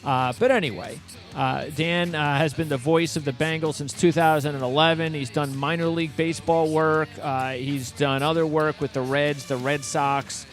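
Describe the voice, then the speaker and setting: A man with a fairly high voice hosts a sports radio show. He talks casually and loosely, doubling back on his words and rambling from point to point, with music playing behind him. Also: fairly even intonation. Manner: rambling, halting, repeats words. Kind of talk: casual interview and show patter.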